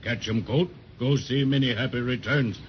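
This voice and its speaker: An actor playing a gruff, stubborn wooden cigar-store figure, in stilted broken English with grunting hums, for a 1930s children's radio serial. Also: male voice, medium pitch, normal speed, close to an everyday voice. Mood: stubborn, demanding. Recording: dull, noisy 1930s radio transcription.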